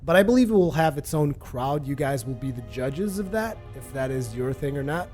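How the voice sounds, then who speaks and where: A man casually reviewing a video game for his channel, relaxed and rambling, with lots of fillers and restarts. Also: mid-range voice, normal speed, faint music behind. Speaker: gaming video host.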